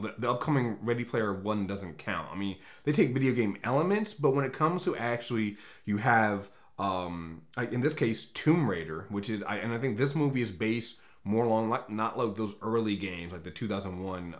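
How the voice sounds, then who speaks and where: A man's voice: lowish voice, fairly bright tone. A man hosting a movie review in a casual, unscripted way, rambling and opinionated, often backtracking as he talks.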